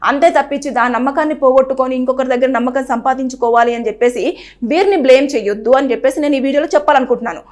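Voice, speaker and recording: A woman presenter talks quickly in a high voice. She explains earnestly and gives advice. Now and then she acts out what people say. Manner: earnest, advising, repeats phrases and acts out quoted speech. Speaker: news presenter. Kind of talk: explainer to camera on a legal topic.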